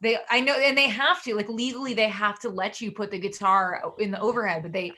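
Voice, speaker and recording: A woman who leads a band, chatting casually as a podcast guest, rambling with lots of "like" fillers and quick laughs. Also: mid-range voice, steady tone, sharp tone. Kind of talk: casual chat.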